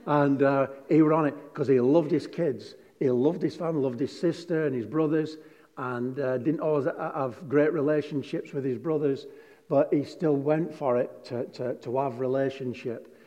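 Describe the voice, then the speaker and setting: A man's voice: medium pitch, steady tone, normal speed, mellow, lo-fi sound. A man preaching a sermon. He tells chatty, joking stories and reads scripture with emphasis. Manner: chatty, jokey storytelling with emphatic scripture reading. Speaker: pastor. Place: large hall with a congregation. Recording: long hall reverb.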